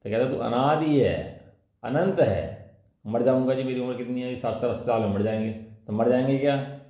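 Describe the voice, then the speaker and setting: A man's voice: medium pitch, moderate pace, warm tone. A man giving a colloquial religious discourse, explaining scripture with rhetorical questions and repeated key phrases. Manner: colloquial teaching with rhetorical questions and tag words. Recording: echoey, reverberant room sound.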